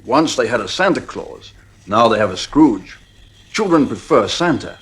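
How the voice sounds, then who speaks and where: An actor playing a middle-aged psychiatrist in a radio drama, measured, frank and wry, with a husky voice. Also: male voice, medium pitch, big pitch swings, fairly bright tone, heavily acted. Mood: grave, frank. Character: male psychiatrist, frank, wry family friend.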